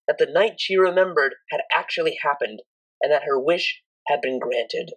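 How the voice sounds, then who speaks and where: Expressive woman narrator with lively, swooping pitch. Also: husky, poor audio. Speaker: audiobook narrator. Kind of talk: expressive scripted story narration.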